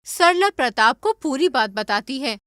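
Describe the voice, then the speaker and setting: High, bright female storyteller over music. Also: expressive, measured pace, no echo, studio quality. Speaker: story narrator. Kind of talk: scripted storytelling narration for a cartoon.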